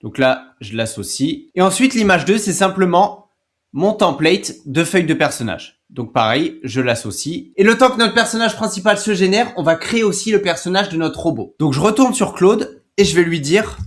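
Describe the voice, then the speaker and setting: A man hosting a casual, conversational step-by-step screen tutorial, talking informally and directly to the viewer. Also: fairly high pitch. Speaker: tutorial host.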